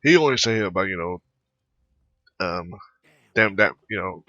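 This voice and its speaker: A man giving casual, rambling, halting commentary over his video game playthrough. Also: low-pitched, lively intonation, sharp tone, dry recording. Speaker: gamer recording a playthrough. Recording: dull, close dry microphone.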